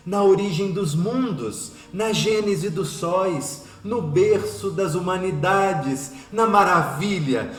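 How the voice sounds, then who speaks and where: A man acting as a reverent poet in prayer, reciting solemn verse in a declamatory way with long pauses. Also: sits high. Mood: awed. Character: reverent male spiritist poet praying to God. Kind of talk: solemn poetry recitation in radio drama. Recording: echoing, with music behind.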